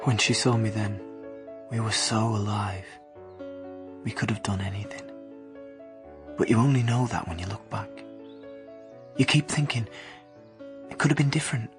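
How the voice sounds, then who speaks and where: An actor playing a devoted but resentful young working-class husband in an emotional, intimate radio drama. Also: male voice, fairly low pitch, moderate pace, pauses often, close to an everyday voice. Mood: haunted, regretful. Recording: music behind the voice.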